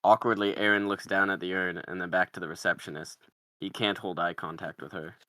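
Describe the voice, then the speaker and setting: Low, bright male script narrator. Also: little pitch movement, brisk, no echo. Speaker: narrator reading stage directions. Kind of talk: scripted screenplay narration at a table read.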